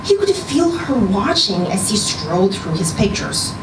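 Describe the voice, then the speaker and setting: A woman giving an expressive narration of a scripted short story at a live reading. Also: mid-range voice, measured pace. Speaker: story reader at a live literary event. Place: indoor social venue, live reading event. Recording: roomy live venue, distant mic, noisy background.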